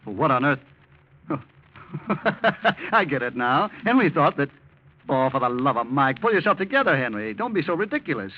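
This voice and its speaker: A man acting as a cocky young schemer in an old radio play. His pitch swings freely as he soothes and taunts with slick false comfort. The recording is muffled, with background hiss and noise. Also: very animated, moderate pace, close to an everyday voice. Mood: slyly reassuring. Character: smooth, cocky young male blackmailer, mocking and scheming. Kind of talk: 1940s radio drama acting.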